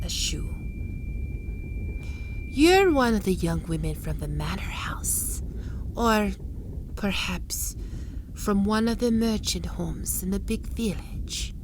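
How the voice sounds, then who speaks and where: A woman voicing a sly, predatory spider-woman who taunts her trapped prey in slow, breathy whispers for an ASMR roleplay. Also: medium pitch, noisy background. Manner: taunting, teasing questions.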